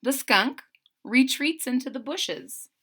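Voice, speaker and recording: A woman narrates a children's story aloud. Her voice is bright and her pitch moves in lively swings. She takes her time, reading expressively and retelling in simple words. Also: slow, husky.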